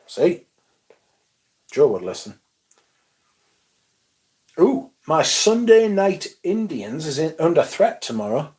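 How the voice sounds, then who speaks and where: A man's voice: medium pitch, a little mellow. Young bloke rambling on his stream, casual, a bit sweary, reads out chat. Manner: laid-back, swears casually, mumbles asides, reads chat aloud. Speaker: livestream host. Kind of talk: casual livestream chat reading comments.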